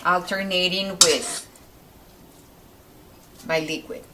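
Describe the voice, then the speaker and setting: A woman's voice: lowish voice, fairly even intonation, slow pace. Mexican-accented woman, distant noisy kitchen recording. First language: Spanish. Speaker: home cooking video host. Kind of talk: informal recipe demonstration.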